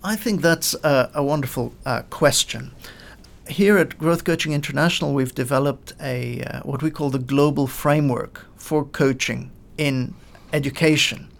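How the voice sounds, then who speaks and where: A middle-aged man from Lebanon, a guest on a podcast, explaining his work in measured sentences with frequent pauses and fillers. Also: mid-range voice, vocal fry. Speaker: guest, coaching in education expert. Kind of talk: podcast interview answers.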